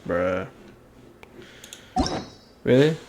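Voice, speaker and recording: Slow-talking man muttering over a game. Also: noisy background. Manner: halting, muttering, repeats himself. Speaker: gaming streamer. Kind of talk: casual gameplay commentary.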